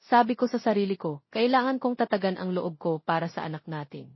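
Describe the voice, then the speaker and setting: A woman's voice: mid-range voice, slightly rough, dry recording, lo-fi sound. A woman voicing a hurt, tearful young mother in an emotional, pleading way for an audio romance drama. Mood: tearful, sorrowful.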